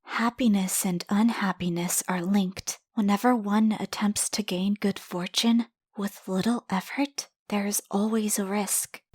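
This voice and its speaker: A woman playing the ghost of a dead girl in a game dub, cryptic and coldly taunting, speaking in a measured, ominous, breathy whisper. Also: medium pitch, fairly even intonation, darkish tone. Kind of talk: dramatic video game dub acting. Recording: background music under the voice.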